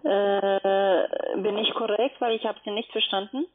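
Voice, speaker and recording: A woman's voice: mid-range voice, steady tone, unhurried. A woman making a scripted cold call as a telesales agent. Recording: noisy dull phone line.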